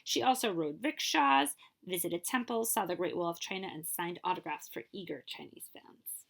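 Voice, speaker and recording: A woman librarian reading a picture book aloud at a steady storytelling pace, now and then stopping for a short aside to her listeners. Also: fairly low pitch, moderate pace, vocal fry.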